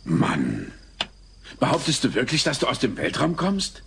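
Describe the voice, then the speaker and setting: A man's voice: mid-range voice, huge pitch swings, a little slow, gravelly, a bit bright, full character voice. An actor playing a baffled, polite man with an incredulous manner in a dubbed sitcom audio play. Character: baffled, drawling, polite male Elvis-lookalike collector. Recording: noisy old cassette transfer.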